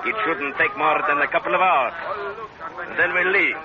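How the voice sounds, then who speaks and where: An actor playing a smug, flattering pirate salvage boss in an old-time radio adventure, speaking formally and wordily with an ingratiating polish. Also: male voice, a little high, moderate pace, a loud crowd, natural voice. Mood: businesslike, cordial. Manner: suave, ingratiating, wordy and formal. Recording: dull, noisy vintage radio recording.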